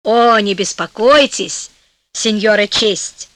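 A woman voicing a restless, self-praising female water spirit in a storybook, smooth-talking and reassuring. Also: medium pitch, takes it slowly, fairly bright tone, no echo. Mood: ingratiating.

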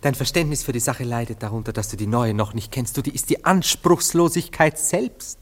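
An actor in a German radio play, playing a self-dramatizing, indecisive lover, effusive and hesitant. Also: male voice, mid-range voice, expressive, fairly quick, close to an everyday voice. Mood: self-satisfied, animated. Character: self-dramatizing, sentimental, indecisive male lover, a Viennese dandy.

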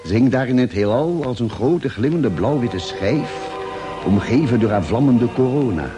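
An actor playing a calm, genial ship's doctor who keeps a diary, articulate and measured, in a radio drama. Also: male voice, mid-range voice, music in the background, natural voice. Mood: measured, wondering. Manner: measured, articulate, expressive storytelling. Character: ship's doctor and diarist, calm, genial male space traveller. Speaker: narrator and actor. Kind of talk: Dutch radio drama narration and dialogue.

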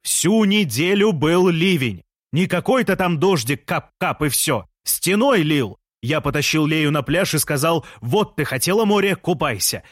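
A man voicing an aggrieved, divorced shopkeeper in an audiobook, complaining loudly about his ex-wife. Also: on the high side, lively intonation, unhurried, few pauses, dry recording, clean studio sound, voice pushed up.

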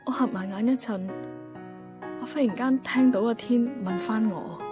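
A woman's voice: on the high side, moderate pace, close to an everyday voice. A woman playing a wistful, introspective young working woman in a radio drama, with a Hong Kong Cantonese accent. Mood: reflective. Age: young adult. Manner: introspective, musing. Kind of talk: radio drama first-person monologue. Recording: clear music bed behind voice.

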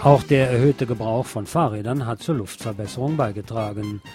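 A man's voice: medium pitch, normal speed. A man presenting news items as a scripted magazine-style read. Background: music.

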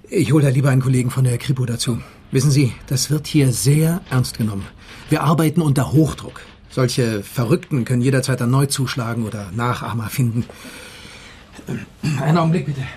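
An actor playing a sceptical police officer in a radio drama, official and matter-of-fact. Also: male voice, mid-range voice, normal speed, long pauses, darkish tone, slight background noise, lightly acted. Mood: businesslike. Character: sceptical, businesslike male police officer on duty.

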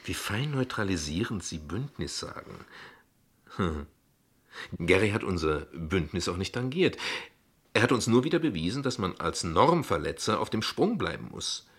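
An actor playing a guarded, ironic father in a radio play, speaking in measured, eloquent, formal sentences. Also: low voice, sing-song, fairly bright tone, slightly rough, exaggerated voice. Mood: ironic, defensive. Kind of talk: radio play dialogue acting.

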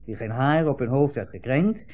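A man's voice: mid-range voice, expressive, moderate pace, faint music behind, natural voice. An actor playing a smug, insinuating army captain in a 1960s radio play, hemming as he puts condescending, leading questions. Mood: smug coaxing. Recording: muffled old radio recording, narrow band.

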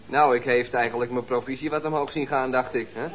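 An actor playing a dim, naive young nephew in a Dutch radio comedy, speaking hesitantly with bungled grammar. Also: male voice, medium pitch, steady tone, fairly quick, natural voice, rough recording. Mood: sheepish, naive.

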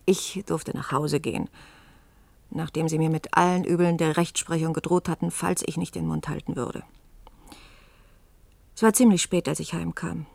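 A woman's voice: low voice, steady tone, moderate pace, creaky, pauses often, a little mellow, no room sound. An actress in a German radio play playing a dry, amused woman who recounts events conversationally. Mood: wry, recounting. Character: wry, candid woman recounting strange events. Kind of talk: German radio play acting and first-person narration.

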